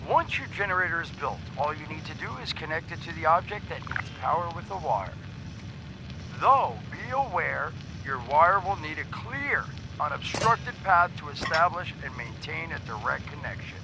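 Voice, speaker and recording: An actor playing a folksy, self-satisfied amateur instructor hamming it up as a headmaster on a video game instructional tape. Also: male voice, on the high side, animated, normal speed, vocal fry, noisy background. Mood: instructive, smug. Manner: showy, self-satisfied, hammy.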